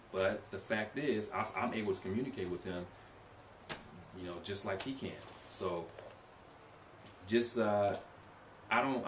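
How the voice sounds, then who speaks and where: Young man, lowish voice, chatty vlog ramble full of fillers; roomy echo. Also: fairly bright tone. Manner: rambling, many fillers and restarts, conversational. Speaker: language-learning vlogger. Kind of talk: casual vlog monologue. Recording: echoey room with faint hiss.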